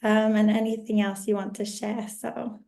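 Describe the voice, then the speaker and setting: A woman's voice: mid-range voice, little pitch movement, moderate pace, vocal fry, warm tone. Woman podcast host with a worn voice. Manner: friendly, rambling with restarts. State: recovering voice after illness. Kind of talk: casual podcast interview.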